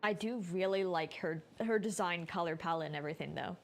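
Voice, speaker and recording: A woman's voice: fairly low pitch, a little fast, gravelly, slight background noise. A woman streaming a game, giving casual commentary and musing aloud informally. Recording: game music behind the voice.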